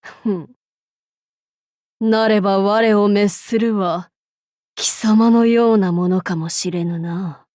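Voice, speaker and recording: Woman doing haughty demon king warlady for a game, slow old-timey commanding speech, bone dry studio sound. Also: mid-range voice, fairly even intonation, darkish tone, no room sound, natural voice. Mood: grave, musing. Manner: archaic, lordly, commanding speech. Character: haughty female demon-king warlord, imperious yet amused. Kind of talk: Japanese game character voice lines.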